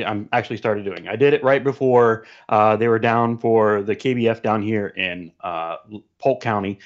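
Man on a dull, lo-fi call. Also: medium pitch. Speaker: podcast guest, show presenter. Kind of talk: casual chat. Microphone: remote call.

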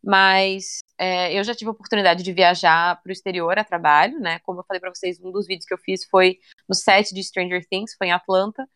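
A young woman chatting casually in an interview, relaxed and talkative, often ending phrases with little tag questions. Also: on the low side, fairly quick, hardly any pauses, smooth. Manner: chatty, relaxed, many fillers like "né" and "sabe".

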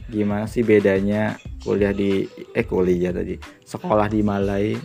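A young man hosting a casual podcast, asking his guest relaxed, curious questions and teasing her now and then. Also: lowish voice, normal speed, creaky, faint music behind. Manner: relaxed, curious interviewer who teases with a put-on accent. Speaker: podcast host. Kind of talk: casual chat.

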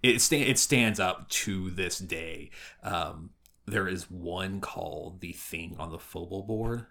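A man's voice: low-pitched, slow, gravelly, bright, forceful tone. A man co-hosting a podcast in casual chat, with a wry, joking way of talking. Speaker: podcast co-host.

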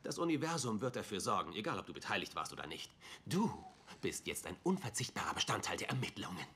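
An actor playing an eccentric, blithely confident detective who explains things in theatrical rambles, in a dubbed TV drama with music behind him. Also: male voice, lowish voice, very animated, quick pace, sharp tone, husky, heavily acted. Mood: breezy certainty.

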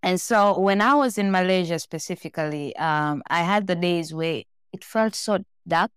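A woman's voice: lowish voice, normal speed, clear, resonant tone, no room sound, studio quality. A woman from Tanzania talking casually and candidly as a podcast guest, telling personal stories. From born in Tanzania, raised in several countries.

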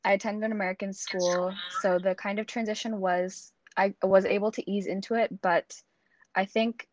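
A woman in her twenties from Singapore, a student guest talking casually in an interview, relaxed and laughing softly between thoughts. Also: medium pitch, normal speed, highs cut off. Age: 20s. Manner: relaxed, with frequent fillers and soft laughs.

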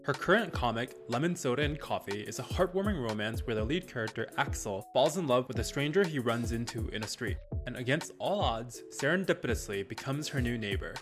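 Host: man, mid pitch, polished read, music underneath. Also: animated, a little fast, hardly any pauses. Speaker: podcast host. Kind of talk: scripted intro narration.

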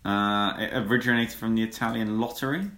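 A man co-hosting a podcast, making dry deadpan quips in casual chat. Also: lowish voice, a little fast. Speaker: podcast co-host. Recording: echoey room.

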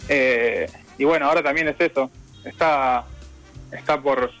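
A man's voice: medium pitch, measured pace, poor audio. A young man from Argentina with a Rosario accent, talking casually about his music as an interview guest over a call, with many fillers. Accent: Rosario Argentine Spanish. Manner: relaxed, rambling, lots of fillers. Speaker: saxophonist guest.

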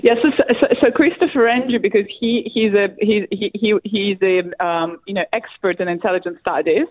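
A woman from Russia with a Russian accent, a historian answering interview questions in a halting way, full of fillers and restarts. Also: medium pitch, no echo. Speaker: guest, academic historian. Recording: tinny phone line.

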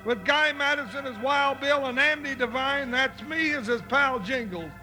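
An actor playing a big, jolly, complaining cowboy deputy with a folksy drawl in a radio western. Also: male voice, extremely high pitch, normal speed, bright, forceful tone, faint music behind, heavily acted. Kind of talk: 1950s radio western acting.

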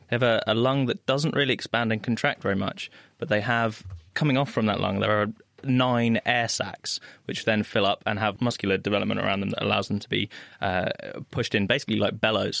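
A man with a low, gravelly voice explains things eagerly as a guest on a science radio show. He often circles back and restarts his phrases as he talks. The dry studio sound keeps him close to the mic. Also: a bit bright, no room sound. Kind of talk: casual radio science chat.

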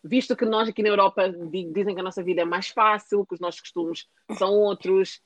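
A young woman talks in a loose, rambling way, stopping and restarting her thoughts and leaning on fillers. Her pitch sits at an ordinary middle height. The recording is close and dry, with no room sound. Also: steady tone, a little fast, no echo, dull audio. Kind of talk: casual chat.

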